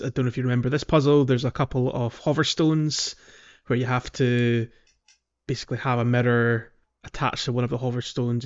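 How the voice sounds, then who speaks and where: A man's voice: medium pitch, highs cut off. A man hosting a podcast, casually explaining how a video game plays. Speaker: podcast host.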